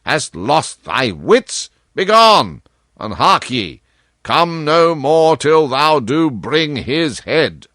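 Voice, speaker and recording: Storybook monarch played by a man: aged, failing yet imperious, very unhurried delivery, dry close sound. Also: a little high, sing-song, bright, forceful tone, no echo, voice pushed up, heavily acted. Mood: wrathful. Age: older. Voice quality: feeble, weighty. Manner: slow archaic royal speech, commanding. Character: frail old dying king, wrathful and sorrowful by turns.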